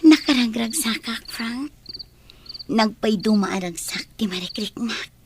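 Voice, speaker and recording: A woman acting a gentle, contented young wife and mother in an Ilocano radio drama. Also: on the high side, lively intonation, measured pace, background noise. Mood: affectionate.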